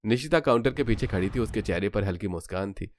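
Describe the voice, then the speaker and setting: Guy narrating a cartoon story, lowish voice, some noise behind him. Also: expressive, fast.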